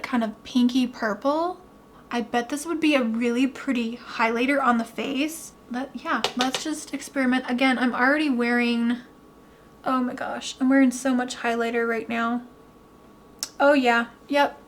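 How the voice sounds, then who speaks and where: Young adult woman, high voice, gushing beauty vlogger, voice going hoarse. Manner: gushing, excited. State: losing her voice. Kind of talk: product unboxing vlog.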